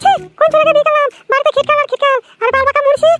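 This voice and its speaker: A young village daughter-in-law from a comedy cartoon, squeaky and extremely high. She grumbles and scolds at a very rapid clip. Music plays clearly behind her. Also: flat delivery. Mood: irritated scolding. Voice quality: squeaky, artificially shrill cartoon voice. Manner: complaining, scolding.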